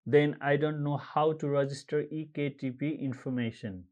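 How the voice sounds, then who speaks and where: A man from Nepal walking viewers through an online form step by step, pausing often with uh and um as he reads out codes. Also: fairly high pitch, steady tone, darkish tone, lo-fi sound. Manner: halting, frequent uh and um fillers, reads out codes slowly. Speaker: tutorial presenter. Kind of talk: screen-recorded how-to walkthrough.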